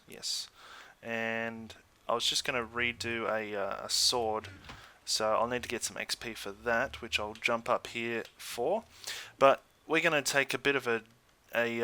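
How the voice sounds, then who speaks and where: An Australian man giving casual, chatty let's play commentary in an easygoing way, thinking out loud as he goes. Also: mid-range voice, smooth. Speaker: gaming YouTuber.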